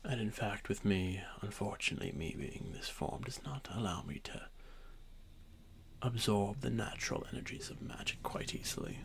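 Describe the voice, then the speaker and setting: A man playing an arrogant, ancient dragon boy who was once a god, speaking with haughty condescension in a breathy, creaky voice for a fantasy ASMR roleplay. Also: on the low side, animated, a little hiss or hum. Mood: proud, instructive. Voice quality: breathy, whispery, with creaky edges. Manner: haughty, condescending, unhurried formal speech.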